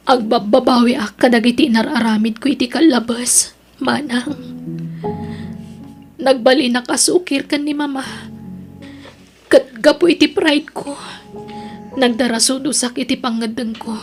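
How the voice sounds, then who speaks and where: A woman's voice: high voice, fairly even intonation, unhurried, pauses often, soft background music. A young woman playing a remorseful younger sister confessing regrets in an audio drama, with an Ilocano accent. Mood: regretful.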